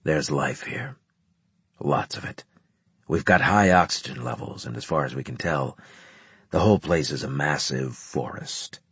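A man voicing a grim male centurion in an audiobook, terse, grave and deliberate as a commander. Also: extremely low pitch, a bit bright, husky, no room sound, pitched below the performer's own voice, full character voice. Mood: grave, matter-of-fact. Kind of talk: audiobook character dialogue.

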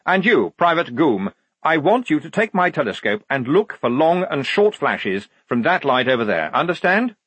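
A man's voice: a little high, sing-song, moderate pace, a bit bright, slightly rough, no room sound, pitched above the performer's own voice, heavily acted. A man voicing a prim, pompous lieutenant in an audiobook, proud of his own cleverness, with pedantic, clipped, officious delivery. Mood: brisk, officious.

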